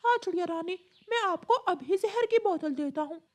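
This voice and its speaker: A woman's voice: extremely high pitch, expressive, moderate pace. A woman voicing a friendly, helpful male parrot in a Hindi cartoon.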